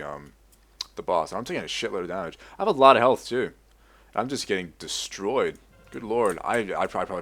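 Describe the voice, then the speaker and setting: A young man with a low voice and an American accent, talking over a video game at his computer with keyboard clicks around him. He rambles, restarts his sentences and swears casually as he goes. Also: a bit bright. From Australia. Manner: rambling, profane, halting restarts. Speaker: gaming YouTuber. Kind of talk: casual commentary over gameplay.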